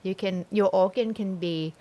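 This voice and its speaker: A woman's voice: mid-range voice, moderate pace, lo-fi sound. A Thai woman in her forties co-hosting a casual livestream, speaking simple English with a Thai accent and making blunt, dry remarks. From Thailand. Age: 40s. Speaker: livestream co-host.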